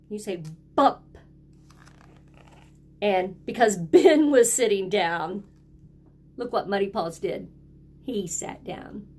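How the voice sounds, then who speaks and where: A woman reading a children's picture book aloud like a teacher, speaking simply and prompting young listeners to join in. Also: on the high side. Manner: simple, deliberate phrasing, prompting children to repeat words.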